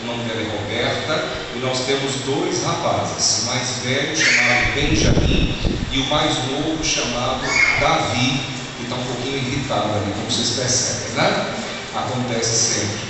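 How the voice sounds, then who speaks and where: A man's voice: medium pitch, moderate pace, very noisy. A Brazilian man in his thirties with an Alagoas accent, preaching a sermon to his congregation in an earnest, direct way. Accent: Brazilian Portuguese, Alagoas. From Brazil, Maceió. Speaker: pastor. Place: church sanctuary. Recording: echoey church hall, far from the mic.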